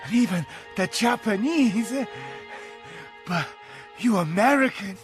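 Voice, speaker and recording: A man playing a smug Chinese intelligence villain in a video game, taunting in a drawn-out way. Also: high voice, lively intonation, faint music behind. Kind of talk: video game cutscene acting.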